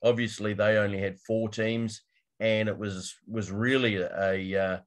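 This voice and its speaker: A man's voice: lowish voice, level tone, a little slow. Man hosting a sports podcast. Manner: friendly, questioning. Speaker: podcast host. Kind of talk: casual interview on a video call.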